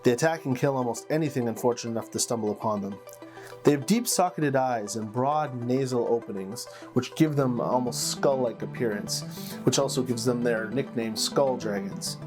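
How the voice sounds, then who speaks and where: A man hosting as a game master, narrating fantasy lore and story like a measured storyteller with dramatic pauses. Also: medium pitch. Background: plucked guitar music.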